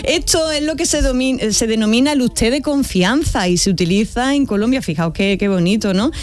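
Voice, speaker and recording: A woman's voice: on the high side, fairly quick. A middle-aged woman with an Andalusian accent, enthusiastically explaining language on a radio talk show and laughing often. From eastern Andalusia, Spain.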